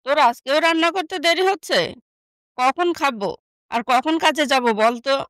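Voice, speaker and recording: Woman playing a spiteful, high-voiced young sister. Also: moderate pace, fairly bright tone, no echo. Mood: scolding, threatening. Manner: scolding, scornful. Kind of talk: animated moral story dialogue.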